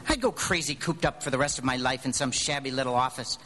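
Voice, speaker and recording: A man's voice: mid-range voice, quick pace, bright, forceful tone. An actor playing an earnest, restless young man with an eager, stammering delivery in a live radio drama.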